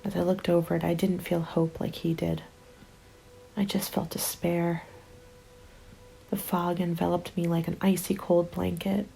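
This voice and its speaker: A woman acting as a despairing apocalypse survivor narrates her story in an intimate ASMR roleplay. Her voice is soft and mellow, with a gravelly fry at the edges, and it often drops into a whisper. The delivery stays subdued and narrow in melody. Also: lowish voice, little pitch movement, a little slow, long pauses. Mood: bleak, despairing. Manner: hushed, often slipping into whisper. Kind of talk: ASMR roleplay, scripted first-person narration. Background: soft music bed.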